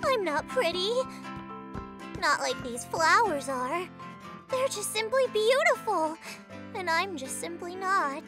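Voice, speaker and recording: A woman voicing a sensitive, dramatic little girl fox in a children's cartoon, with an earnest, expressive delivery. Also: extremely high pitch, slow, long pauses, over music, heavily acted. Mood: dejected, sulky.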